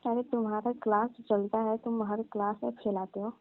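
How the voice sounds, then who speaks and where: Over a thin, phone-like line comes a woman acting as a coy young female cousin. Her voice is on the low side, and she chats in a colloquial, teasing and bashful way, as in a staged phone call. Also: flat delivery, normal speed, dry recording, faint music behind, lightly acted. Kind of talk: staged casual phone chat.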